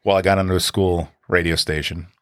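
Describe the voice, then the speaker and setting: A middle-aged man from Wisconsin, telling his story in a relaxed interview with many fillers and restarts. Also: a little fast, smooth, dry recording, clean studio sound. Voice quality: deep voice. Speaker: podcast guest. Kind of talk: casual conversational interview.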